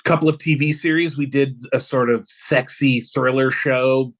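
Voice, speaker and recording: A man's voice: medium pitch, fairly even intonation, normal speed. An American man from Los Angeles talking casually as a guest in an interview. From Los Angeles, USA. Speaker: podcast guest, composer.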